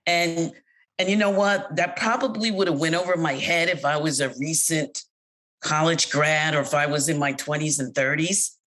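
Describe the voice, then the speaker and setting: A woman's voice: deep voice, moderate pace. Candid middle-aged woman telling her story. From United States. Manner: candid storyteller, animated when quoting others. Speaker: interviewee. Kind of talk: personal account in an interview.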